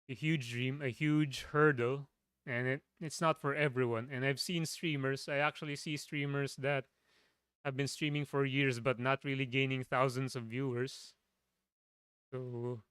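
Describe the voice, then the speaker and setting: A man from the Philippines, a streamer with a low voice, chatting casually from his home setup, rambling and restarting his sentences. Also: fairly bright tone, smooth, no room sound, studio quality. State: voice tiring.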